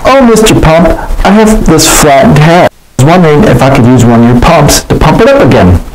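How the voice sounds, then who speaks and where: A man's voice: a little high, big pitch swings, brisk, slight background noise, pitched above the performer's own voice, poor audio. A man voicing a cheerful, earnest little plane in a storybook, in an eager, childlike manner. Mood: hesitant.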